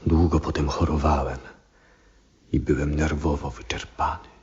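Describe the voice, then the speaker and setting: An ailing old astronomer, weary and stubborn. His low voice is very breathy and husky, moving slowly and haltingly, in a dull vintage radio drama recording. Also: steady tone, a little mellow, exaggerated voice. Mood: solemn confiding. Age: older. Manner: slow, halting, solemn delivery. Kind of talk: 1970s Polish radio drama acting.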